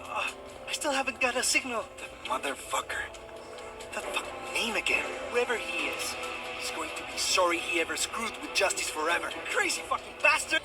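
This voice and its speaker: A young man playing an excitable, sarcastic, foul-mouthed amateur superhero in a game cutscene. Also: high voice, normal speed. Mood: defiant, angry. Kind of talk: video game cutscene acting. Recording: loud music behind the voice.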